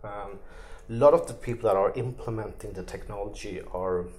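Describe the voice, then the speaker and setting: A man's voice: lowish voice, brisk, a little mellow. A middle-aged man from Norway with a Norwegian accent, answering interview questions in loose, filler-heavy phrasing. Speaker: interviewee, tech executive.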